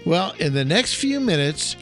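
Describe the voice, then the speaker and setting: A man's voice: fairly high pitch, very animated, moderate pace, faint music behind. A man hosting a podcast with an enthusiastic, emphatic delivery, as if reading an upbeat script. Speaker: podcast host, chef.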